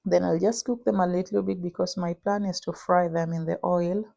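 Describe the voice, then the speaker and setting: A young woman with a Kenyan accent talking through her cooking in a casual vlog, pausing often with "uh". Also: lowish voice, steady tone, creaky, mellow, no echo, studio quality. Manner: unhurried, many "uh" pauses while cooking. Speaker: vlogger.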